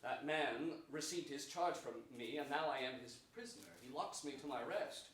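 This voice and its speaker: A young man playing a gentle, melancholy captive king, declaiming earnest Shakespearean verse in a staged reading. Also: mid-range voice, moderate pace, close to an everyday voice. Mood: resigned, troubled. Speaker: stage actor. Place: large indoor theater stage before an audience. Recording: echoey theater, distant microphone, some noise.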